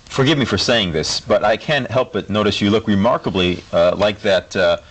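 A man's voice: fairly low pitch, normal speed, fairly bright tone. A man hosting a mock talk show interview, asking questions politely and with a straight face.

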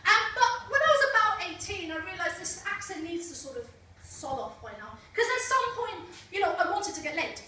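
A woman's voice: extremely high pitch, sing-song, brisk, pushed and bright, slight background noise. A young woman doing a stand-up comedy set on a small club stage, chatty and teasing the crowd, on a handheld mic filmed from the back of the room. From Britain. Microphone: handheld mic filmed by a far camera. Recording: echoey club from far off.

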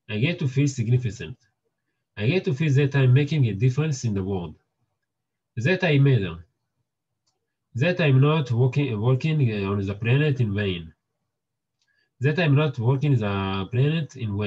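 A young man with a strong Israeli accent, hosting a book club and reading aloud with halting restarts and fillers. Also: mid-range voice, darkish tone, breathy edge, lo-fi sound. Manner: halting, with many fillers and restarts, repeating words.

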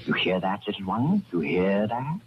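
Genteel radio serial killer, gloating, mock-polite, wildly varied intonation, old noisy recording. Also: male voice, lowish voice, very animated, normal speed, dull audio. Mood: gloating menace. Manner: rambling, self-satisfied, chuckling, mock-courteous. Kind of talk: 1940s radio horror acting.

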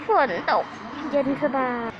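A woman's voice: takes it slowly, a crowd in the background. A woman improvising playful role-play over game play as a boy character, in a childlike, exclamatory way. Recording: dull lo-fi audio, loud background voices.

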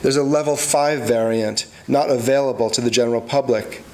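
A man's voice: medium pitch, steady tone, fairly quick, a little mellow, slight background noise. A middle-aged man reads poetry aloud from the page at a podium microphone in a lecture hall. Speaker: poet, faculty reader. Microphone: gooseneck podium microphone.

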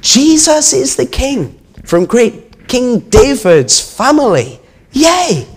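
A man voicing an excited, jubilant crowd of children and townsfolk in a children's storybook reading. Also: lowish voice, a little slow, slightly rough. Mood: jubilant shouting. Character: excited crowd and children cheering their king.

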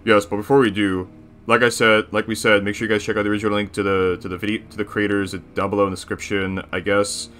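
A crude, self-satisfied cartoon bear boss, acted out by a man, commenting on videos. The voice sits on the low side with a hard, forceful edge, and the manner is bossy and boastful. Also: little pitch movement, fast talker, soft background music, natural voice. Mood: bossy, eager. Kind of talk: character reaction commentary.

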